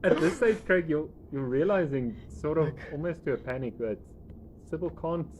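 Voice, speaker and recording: A South African man narrating a tabletop game as game master, in a halting, conversational way. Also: a little high, expressive, darkish tone. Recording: noisy room recording.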